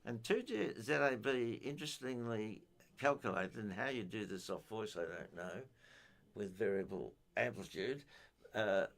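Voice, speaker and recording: Older man, informal technical talk, halting delivery, methodical, explanatory. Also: medium pitch, gravelly, fairly bright tone. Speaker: amateur radio enthusiast giving a technical talk.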